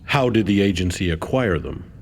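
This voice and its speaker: Low-voiced, stern government interrogator. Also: male voice, expressive, moderate pace, a little hiss or hum. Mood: stern, formal. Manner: formal, accusatory interrogator. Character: stern, scheming senior government official leading a hearing. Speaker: voice actor in a video game. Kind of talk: scripted game cutscene acting. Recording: game audio with music underneath.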